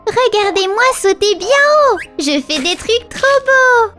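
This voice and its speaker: A little orc child in a very high voice, timid yet playful. It is rhyming cartoon dialogue for young children, with clear music underneath. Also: female voice, very animated, slow pace, heavily acted. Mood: excited.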